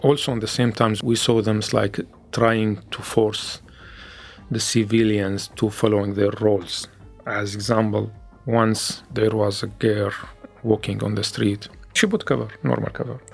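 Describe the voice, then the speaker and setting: Male interviewee, Arabic native, Syrian accent, husky and gravelly, halting English, faint music bed. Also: fairly low pitch, little pitch movement. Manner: halting second-language English with restarts. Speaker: interviewee, former activist. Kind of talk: testimony in an interview.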